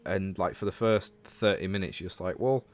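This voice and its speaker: A man's voice: deep voice, a little fast. A man from Leeds with a Northern English accent, chatting casually about games as a livestream host and talking to viewers. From Leeds, England.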